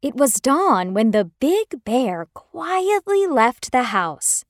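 A woman tells a children's fairy tale with care. Her voice is high and melodic, and she lets each phrase land slowly. The recording is dry. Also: no room sound. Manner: expressive, careful. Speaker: storyteller.

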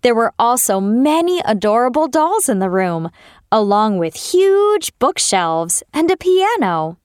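A woman narrating a fairy tale for children as a storyteller, with expressive delivery. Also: a little high, measured pace, clear, resonant tone, no echo, clean studio sound.

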